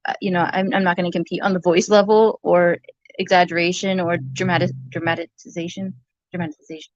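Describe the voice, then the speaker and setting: A soft-voiced woman talking casually as in a vlog, halting and rambling. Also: on the low side, little pitch movement, no room sound, muffled recording.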